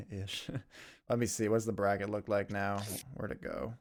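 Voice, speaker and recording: A man's voice: fairly low pitch, little pitch movement, normal speed, creaky. A man doing casual esports commentary, laid-back, laughing often and swearing casually.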